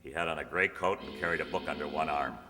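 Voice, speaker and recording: A man playing a terse undercover police detective in a radio crime drama, matter-of-fact and deadpan, recorded in an echoey hall. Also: very deep voice, fast. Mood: deadpan, methodical. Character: terse undercover police detective narrating his own case. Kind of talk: clipped radio crime drama narration and dialogue. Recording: echoey hall, loud music behind.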